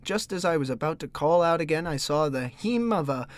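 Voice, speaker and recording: A man playing an earnest gentleman hero in an audio drama, speaking in a dramatic, declamatory way. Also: on the high side, lively intonation, brisk, dry recording, lightly acted. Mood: dramatic narration. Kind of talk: audio drama acting and narration.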